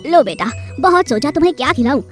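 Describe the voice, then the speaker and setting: A gentle cartoon rabbit father, voiced by a woman. His voice sits very high and jumps about. He soothes his little son over soft music. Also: sing-song, fast. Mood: tender. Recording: music bed behind.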